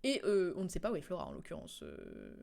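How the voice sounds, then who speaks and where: A woman streamer giving casual, informal game commentary, recapping a story haltingly as she recalls it. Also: fairly low pitch, huge pitch swings, gravelly, a little mellow.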